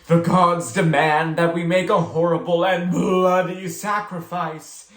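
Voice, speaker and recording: A reader voicing a bossy young girl playing high priestess in a storybook, chanting solemnly and commandingly. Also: fairly even intonation, measured pace, fairly bright tone, lightly acted. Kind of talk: children's storybook character voice. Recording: roomy, echoey sound.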